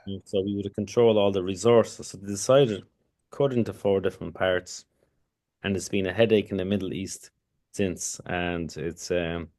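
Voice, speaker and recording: Low-voiced man, rambling. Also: steady tone, normal speed, a little mellow. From Kurdistan, Iraq. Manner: rambling, with many restarts and "you know". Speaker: podcast guest. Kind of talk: casual conversational interview.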